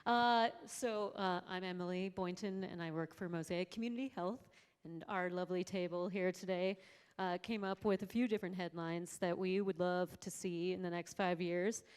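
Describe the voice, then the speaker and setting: A woman speaking up at a community forum, loud and friendly, with laughs between points. Her voice is lowish and smooth. The long echo of a hall trails behind her. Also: steady tone, normal speed. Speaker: forum attendee.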